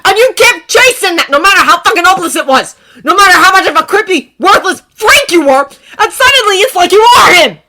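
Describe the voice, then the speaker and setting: A woman's voice: medium pitch, animated, fairly quick, pitched above the performer's own voice. Woman doing a furious swearing teen boy. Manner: shouting, swearing, voice cracking. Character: furious teenage boy hero, explosive temper. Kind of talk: fan fiction reading.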